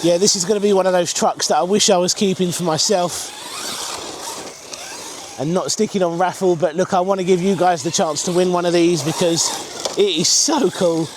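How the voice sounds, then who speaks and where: A man's voice: high voice, fairly even intonation, normal speed, background noise. A man presenting a casual, enthusiastic product review, talking chattily to his viewers as the host. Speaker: RC car reviewer and video host.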